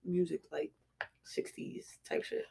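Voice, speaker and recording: A woman's voice: lowish voice, huge pitch swings, slow pace, vocal fry, dark tone, husky. A young woman speaking as a podcast guest in casual, slangy conversation with a laid-back manner. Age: young adult. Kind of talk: casual chat.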